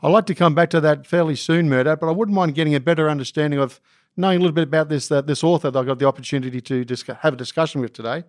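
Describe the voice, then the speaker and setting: A man hosting a podcast, reading a scripted introduction and asking interview questions in a measured way. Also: a little high, no room sound, studio quality. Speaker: podcast host.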